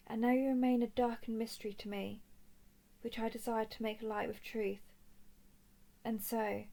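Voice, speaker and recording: A woman acts out a young dragon princess in a fantasy audio drama. Her voice sits a little high, with a noticeable creak at the edges. She speaks softly and formally, choosing words with deliberate care, a composed captor full of curiosity. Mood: wry, composed. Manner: formal, deliberate, soft-spoken. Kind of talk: scripted roleplay audio drama.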